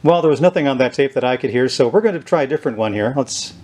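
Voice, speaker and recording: A man giving an unscripted, halting demonstration of equipment, thinking aloud as he handles it. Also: medium pitch, lively intonation, a little mellow. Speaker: host demonstrating a vintage tape recorder. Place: indoors at a tabletop.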